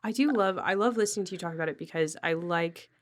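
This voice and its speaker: A woman's voice: on the low side, fast. A woman hosting a casual podcast conversation, talking reflectively in long explanations. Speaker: podcast host.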